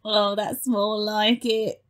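A woman's voice: mid-range voice, flat delivery, takes it slowly, natural voice. A woman voicing an obsequious, eager demon minion in a comic audio pantomime, with a fawning, theatrical manner. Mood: playful.

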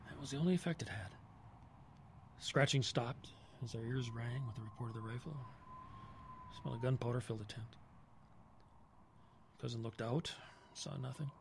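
A man playing a haunted woodsman survivor in a horror audio drama, telling his tale in a halting, dramatic way with swelling emphasis. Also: medium pitch, darkish tone, slight background noise, natural voice. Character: haunted male woodsman survivor recounting a terror.

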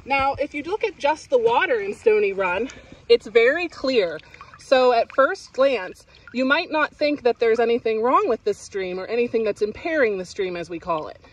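A woman's voice: a little high, lively intonation, moderate pace. A woman in her thirties explaining stream pollution outdoors beside a creek, plain and explanatory with frequent ums and uhs. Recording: dry, a little distant from the mic.

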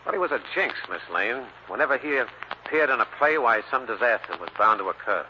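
An actor playing a defensive, irritable theatrical business manager in an old-time radio drama. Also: male voice, mid-range voice, animated, a little fast, lightly acted. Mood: wary, explaining. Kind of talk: 1940s radio drama acting. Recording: muffled, noisy old radio recording.

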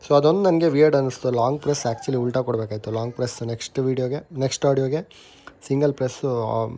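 A man's voice: medium pitch, quick pace, mellow, a little hiss or hum. A young man with a Kannada accent giving a casual, chatty review of a helmet intercom, mixing in English words as he goes. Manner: chatty, mixes English words into his talk, self-corrects. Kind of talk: casual product review and unboxing.

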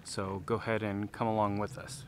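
A man in his thirties casually narrating a camping vlog, relaxed with many filler pauses, filmed at arm's length outdoors and in an RV. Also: lowish voice. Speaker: camping vlogger. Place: outdoors in a forest campground, later inside an RV.

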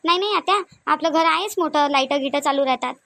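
A young daughter-in-law from a comedy cartoon acted by a woman speaks in a high voice with a Varhadi Marathi accent. She sounds wary and reluctant, quick to defend herself. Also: creaky, a bit bright. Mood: defensive. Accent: Varhadi Marathi (Vidarbha).

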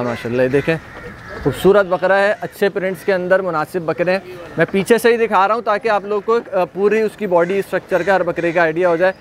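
A man hosting an on-site walkthrough of livestock, chatting casually and repeating praise often. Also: high voice. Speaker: livestock video host. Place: outdoor goat pen at a farm.

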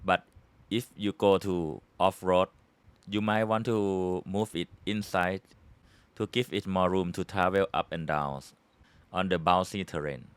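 A man with a Thai accent talks through a hands-on tutorial in plain, patient English. His voice sits low, and the recording is dry and close, with almost no room sound. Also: fairly even intonation, measured pace, clean tone, no room sound. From Thailand. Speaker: hobby channel host. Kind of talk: casual how-to tutorial.

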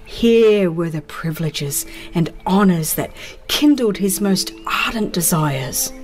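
A woman's voice: fairly low pitch, lively intonation, slow pace, a little mellow. Woman narrating solemnly over music. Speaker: audiobook narrator. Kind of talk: solemn, dramatized scripted narration of a religious book.

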